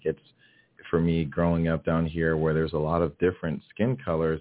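A man from Miami, Florida, speaking earnestly as a guest in a radio interview, often restarting his phrases. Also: rumbling low. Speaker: guest, DEI strategist. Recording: tinny phone line.

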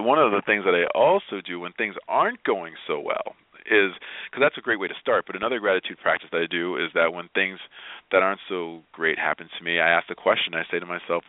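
A man's voice: sits low, no room sound. A middle-aged man talking casually as an interview guest, earnest and emphatic, often repeating his phrases. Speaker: guest, motivational speaker. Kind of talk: casual interview talk with personal anecdotes. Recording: tinny phone-like radio line.

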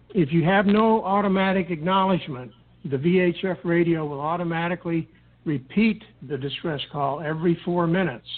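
A man giving methodical, measured instructional explanations as a guest, step by step. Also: fairly high pitch. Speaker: guest explaining radio procedures. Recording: tinny narrow-band phone-like line.

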